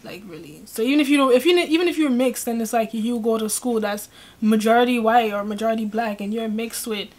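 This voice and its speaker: A woman's voice: on the high side, fairly quick. A young woman co-hosting a reaction video at home, chatting casually and pressing her partner with questions. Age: young adult. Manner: animated, opinionated, questioning. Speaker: reaction channel co-host. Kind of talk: casual chat. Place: home room with bookshelf.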